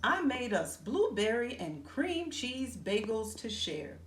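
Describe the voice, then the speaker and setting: Woman reading as an animated, upbeat male schoolteacher. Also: on the high side, slow pace, voice pushed up. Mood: brisk, cheery. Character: upbeat male schoolteacher addressing his young class. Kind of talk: children's storybook read-aloud. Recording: roomy echo, faint background noise.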